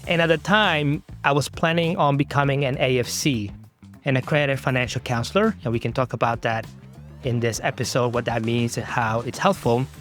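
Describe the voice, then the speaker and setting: A man's voice: mid-range voice, steady tone, moderate pace, fairly bright tone, faint music behind. Earnest male podcast host. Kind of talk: conversational interview.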